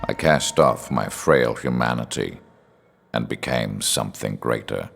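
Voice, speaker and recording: Very deep, gravelly villain. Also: male voice, a bit bright, faint music behind, full character voice. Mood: cold, gloating. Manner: measured, menacing, self-important. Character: cold, superhuman male villain, gloating over rebirth. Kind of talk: scripted cutscene narration.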